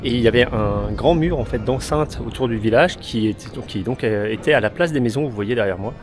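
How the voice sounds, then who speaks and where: A man's voice: medium pitch, fairly quick. Chatty French man vlogging his travels. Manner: chatty, enthusiastic, many fillers. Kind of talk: casual travel vlog narration. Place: outdoors on a motorcycle trip through villages. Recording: background music.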